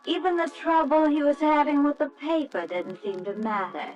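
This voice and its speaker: A woman's voice: sits high, moderate pace, a little mellow, highs cut off, soft background music, close to an everyday voice. A woman acting an anxious, affectionate young wife and mother in a 1940s-style radio drama, breathless and fretful. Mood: tender, reflective. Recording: noisy old radio drama recording.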